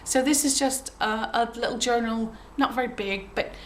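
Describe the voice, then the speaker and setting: Croaky woman chatting about her crafts. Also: a little high, normal speed, slight background noise. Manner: gentle, chatty, with frequent ums and throat clearing. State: croaky from a cold. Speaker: craft journal maker showing her work. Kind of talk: casual show-and-tell.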